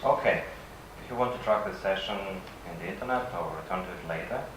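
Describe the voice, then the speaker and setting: A man with a Russian accent, a native Russian speaker, giving a halting conference presentation with frequent pauses. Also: low voice. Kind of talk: conference presentation, semi-improvised. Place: conference session room. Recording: echoey room from far off, faint noise.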